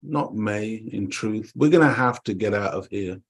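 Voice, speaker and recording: A man's voice: fairly low pitch, normal speed, slightly rough. A middle-aged man from Jamaica, co-hosting an online talk show and preaching with emphatic repetition. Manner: preaching, emphatic, repeats words, addresses "dear family". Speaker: co-host. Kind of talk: impassioned talk-show monologue. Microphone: online call.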